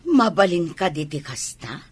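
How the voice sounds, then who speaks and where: An Ilocano-accented woman playing a stern, scolding village mother with a husky, forceful delivery in a radio drama. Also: lowish voice, very animated, a little slow, exaggerated voice. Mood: worried motherly.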